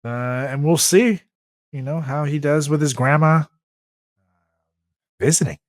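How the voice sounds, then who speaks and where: A man's voice: fairly high pitch, a little slow, clean studio sound. A man hosting a comedy podcast, moving between casual banter and reading a comic story aloud. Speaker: podcast host. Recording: echoey room with music behind.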